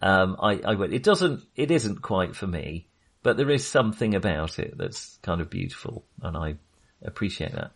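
A man with a fairly low voice that moves up and down in a very animated way. He talks casually about films as a podcast co-host, often hesitating and rephrasing to qualify what he thinks. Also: normal speed, gravelly, slightly rough. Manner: hesitant, self-correcting, qualifying his opinions. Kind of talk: casual film discussion.

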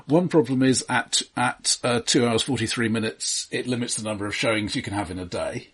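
A man co-hosting a podcast in casual film discussion, explaining things in a halting, analytical way. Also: medium pitch, slightly rough. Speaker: podcast co-host.

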